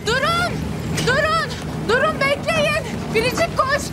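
An actress playing an excitable, dramatic, naive city visitor with exclamatory, effusive speech in a light TV drama. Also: female voice, extremely high pitch, unhurried. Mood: frantic, indignant. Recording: loud music and crowd behind dialogue.

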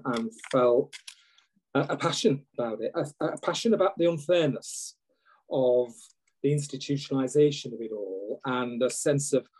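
A man in his sixties with a British accent and a soft-edged, mellow voice of middling pitch. He gives a reflective, orderly personal account as a panellist on a video call. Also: low-quality recording. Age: 60s. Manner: structured, numbering his points. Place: indoors at home on a video call. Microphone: video call microphone.

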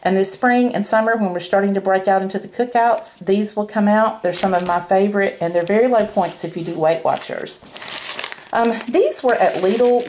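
A woman's voice: medium pitch, a little mellow, a little hiss or hum. Older woman, chatty homemaker. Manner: chatty, explanatory. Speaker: homemaker vlogger. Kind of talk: casual grocery haul chat. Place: indoors at home, by a dining table. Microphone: handheld camera, speaker behind it.

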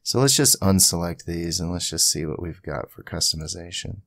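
A man giving a casual screen-capture software tutorial, thinking aloud informally as he goes. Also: low-pitched, normal speed, gravelly, no room sound. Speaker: tutorial host.

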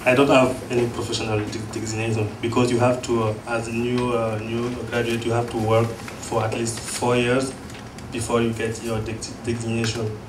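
Flat-voiced man, roomy and distant. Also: medium pitch. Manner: plain, matter-of-fact, halting restarts. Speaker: panelist, engineer. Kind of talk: panel discussion. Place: classroom panel table. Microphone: handheld mic. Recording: distant echoey room with noise.

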